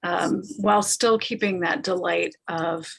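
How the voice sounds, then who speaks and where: A woman informally hosting an online talkback, speaking in halting, measured phrases with pauses. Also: lowish voice, steady tone, gravelly, slightly rough, poor audio. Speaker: talkback host.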